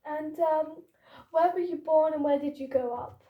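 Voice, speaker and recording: High-voiced young woman interviewer, roomy sound. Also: steady tone, normal speed, dull audio. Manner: brief, encouraging interjections.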